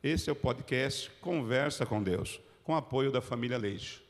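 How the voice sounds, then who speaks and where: A man's voice: mid-range voice, measured pace, long reverb tail. A man who is a pastor, formally opening a church podcast. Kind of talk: show introduction.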